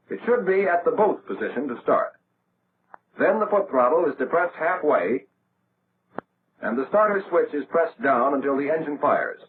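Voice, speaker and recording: A tinny, narrow old film soundtrack carries an American man narrating a 1940s army instructional reel. His voice sits fairly high and moves in lively rises and falls. He delivers scripted instructions in a measured, orderly way. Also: slight background noise.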